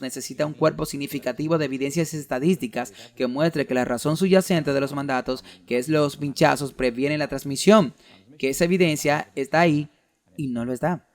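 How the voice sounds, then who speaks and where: An earnest man with a voice a little above the usual male range builds an argumentative case point by point. He delivers it as a sermon-style lecture and stops now and then to read quoted documents aloud. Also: on the high side. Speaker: preacher giving a talk.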